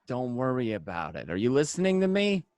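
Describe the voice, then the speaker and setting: A man's voice: mid-range voice, wildly swooping pitch, fairly quick, a little mellow, dry recording. A man playing a defensive, hustling gangster in an amateur radio drama read, insistent and stammering over repeated words. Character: defensive, hustling young male gangster, eager to reassure.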